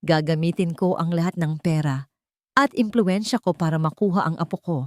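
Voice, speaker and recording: A woman's voice: on the low side, brisk, no room sound, clean studio sound, lightly acted. A woman voicing a cold, proud, rich old grandmother who speaks in an imperious, threatening way in an audio story. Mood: menacing. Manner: menacing, imperious.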